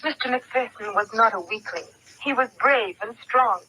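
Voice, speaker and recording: An actress playing a proud, grieving young fiancée, earnest and dramatic, in an old-time radio drama. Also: female voice, on the high side, normal speed, pushed and bright. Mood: earnest, resolute. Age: young adult. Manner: earnest, dramatic, well-spoken. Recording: thin, noisy vintage radio recording.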